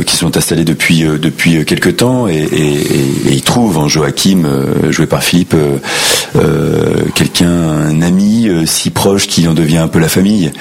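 Brief: man, very low voice with a husky edge, hesitant interview talk full of fillers. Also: a little mellow. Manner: hesitant, many fillers and restarts. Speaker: actor interviewed. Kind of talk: casual interview chat.

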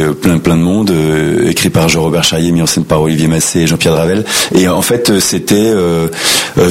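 Very deep, husky French man, enthusiastic. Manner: enthusiastic, halting, repeats himself. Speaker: actor being interviewed. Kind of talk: casual anecdotal interview talk.

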